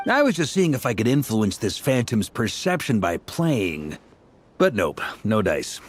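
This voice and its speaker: A man's voice: lowish voice, wildly swooping pitch, unhurried, a little hiss or hum. A man playing an upbeat, playful young adventurer who reasons things out in a video game. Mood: explaining.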